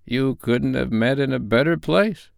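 A man's voice: mid-range voice, unhurried, creaky, no echo, clean studio sound, pitched above the performer's own voice. A man voicing a crafty, wheedling old male rag-and-bone dealer who haggles with a chuckle. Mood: welcoming.

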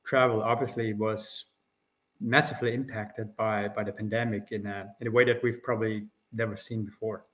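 A man with an Austrian German accent, speaking as a company executive guest in a polite, measured way with frequent pauses. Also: fairly low pitch, little pitch movement, a bit bright. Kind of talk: interview conversation.

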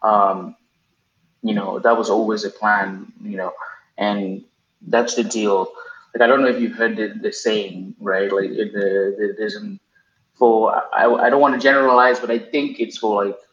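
A man's voice: on the low side, steady tone, measured pace, fairly bright tone. Cameroon-raised man, hesitant, roomy dull audio. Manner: hesitant, many ums and restarts. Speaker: guest. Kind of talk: casual interview answers. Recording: roomy, dull remote recording with faint noise.

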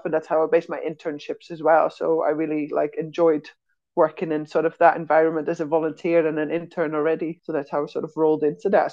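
A woman's voice: low-pitched, warm tone. Chatty European woman, slightly dull audio. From Netherlands and Switzerland. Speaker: zookeeper, podcast guest. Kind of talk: casual anecdotal interview talk.

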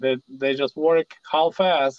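A man's voice: on the high side, a little slow, smooth, no room sound, dull audio. Man with a Russian accent, dry sound. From Ukraine, raised in Soviet Kyiv. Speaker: interview guest. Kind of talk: casual conversational recollection and explanation.

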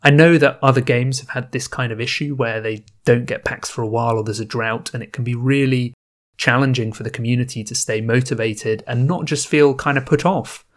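A man talks to his listeners in a relaxed, chatty way, thinking aloud as he goes and trailing off now and then. He records alone at home on a podcast microphone. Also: medium pitch, fairly quick. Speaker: podcast host. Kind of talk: casual solo podcast intro. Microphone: new home podcast microphone setup.

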